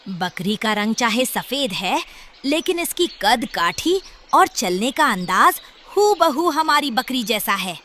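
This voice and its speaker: A woman's voice: fairly high pitch, big pitch swings, takes it slowly, noisy background. A woman voicing a shrewd, bossy old grandmother bird in a children's cartoon. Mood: suspicious, determined.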